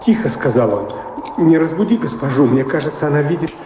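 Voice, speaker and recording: Actor as obsequious household servant, halting and hesitant; radio-play style, long reverb, noisy recording. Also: male voice, fairly high pitch, animated, natural voice. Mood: dutiful, halting. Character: deferential, hesitant male servant of a noble house. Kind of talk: audio play stage acting.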